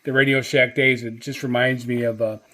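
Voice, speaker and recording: A man giving a casual, unscripted product review in a halting, hesitant way, often repeating phrases. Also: mid-range voice, level tone, bright, forceful tone.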